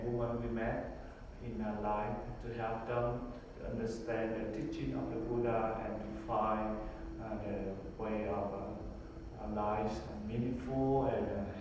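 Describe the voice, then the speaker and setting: A Buddhist monk with a Vietnamese accent who answers interview questions in simple English, pausing often and filling gaps with hesitations. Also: male voice, lowish voice. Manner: halting, many pauses and fillers, simple English. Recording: echoey hall, far from the mic, faint noise.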